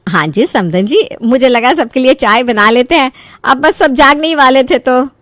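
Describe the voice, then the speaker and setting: चालाक, भोली बनती ऊँची आवाज़ वाली सास बनी महिला. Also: female voice, sits high, expressive, moderate pace, a bit bright, dry recording, studio quality, pitched above the performer's own voice. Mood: feigned innocence. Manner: feigned innocence, pointed questions. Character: sly, mock-innocent middle-aged mother-in-law. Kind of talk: Hindi animated story dialogue.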